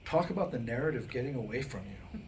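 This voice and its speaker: An American man performing a staged comic monologue in a wry, self-deprecating way, with deliberate pauses around his punchlines. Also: mid-range voice, animated. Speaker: writer and performer reading his own new comic script. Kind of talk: staged comedic monologue to a live audience. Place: live staged reading before a small audience. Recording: noisy live room recording.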